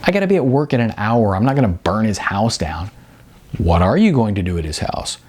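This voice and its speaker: A man's voice: on the low side, very animated, a little fast, darkish tone, breathy edge. A man voicing a wary, reluctant young sidekick in an audiobook, dry and skeptical. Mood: worried.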